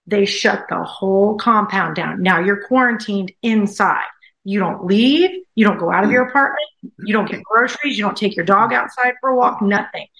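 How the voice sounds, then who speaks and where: Bright-voiced woman telling a story in an echoey room. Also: medium pitch. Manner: animated, repeats phrases for emphasis. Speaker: guest. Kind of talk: casual storytelling.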